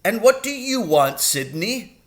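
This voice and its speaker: A man's voice: sits high, sing-song, measured pace, pitched above the performer's own voice. A man voicing a flustered, furtive but kindly little headmaster in a storybook, stammering and hesitant.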